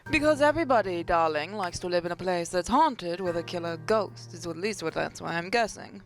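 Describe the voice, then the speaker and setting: A let's play gamer with a slightly hoarse, under-the-weather voice, reading narration aloud with joking, self-mocking asides. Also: mid-range voice, animated, fairly quick, soft background music. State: slightly hoarse, getting sick. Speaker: gaming YouTuber.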